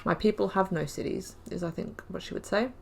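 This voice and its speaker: A woman's voice: mid-range voice, brisk, gravelly, a little hiss or hum. A woman giving casual, chatty commentary on her video game playthrough, giggling and muttering asides. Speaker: gaming YouTuber. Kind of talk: casual let's-play commentary.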